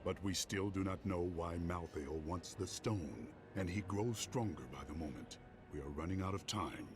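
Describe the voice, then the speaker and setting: An actor playing a resolute, grim warrior hero in a video game, speaking in stern declarations. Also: male voice, deep voice, normal speed, background noise, natural voice. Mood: urgent. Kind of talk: video game dramatic acting.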